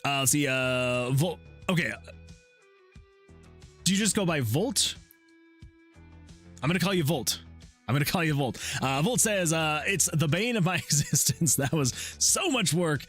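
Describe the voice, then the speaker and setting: A man's voice: a little high, lively intonation, unhurried, long pauses, fairly bright tone, faint music behind. A man streaming his indie game development, chatting in a loose, effusive way and often repeating his praise. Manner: effusive, rambling, repeats himself. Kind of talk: casual livestream chat.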